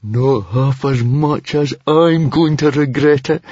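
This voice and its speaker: Anxious Viking boy, squeaky, high-shifted, husky, fretful, timid, protesting, storybook. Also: slow, a little mellow, no room sound, muffled recording. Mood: anxious dread.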